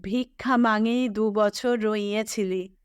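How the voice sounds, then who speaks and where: A woman's voice: mid-range voice, steady tone, takes it slowly, clear, resonant tone, no room sound, clean studio sound, lightly acted. A woman voicing a poor, weary middle-aged woodcutter in a storybook reading, with humble, rustic Manbhum Bengali speech. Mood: weary, plain. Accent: rural Manbhum Bengali.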